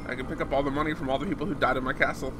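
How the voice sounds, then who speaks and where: A male game streamer with a hoarse, fading voice, chatting casually and answering viewers while he plays. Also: medium pitch, steady tone, very fast, very noisy. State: hoarse, losing his voice. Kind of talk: casual live-stream chat while gaming. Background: loud game music.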